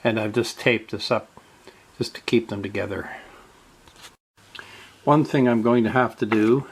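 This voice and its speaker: A man narrating a step-by-step radio repair in a halting way, with many fillers. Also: lowish voice, a little hiss or hum.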